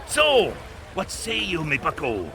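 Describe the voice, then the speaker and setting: A blustering old pirate rogue in an audio drama. He growls in a pirate dialect, and his voice swings widely between low rumbles and high bluster, with noise behind him. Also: huge pitch swings, slow pace, pushed and bright, exaggerated voice. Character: roguish old male pirate captain, blustering and theatrical.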